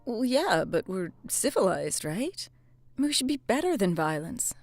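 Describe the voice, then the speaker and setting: A woman playing an earnest, troubled small-town cop in an audio drama, speaking in a halting, weary, hesitant way. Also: medium pitch, very animated, soft background music. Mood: indignant. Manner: halting, hesitant, sighing, swearing. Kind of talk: naturalistic audio drama acting.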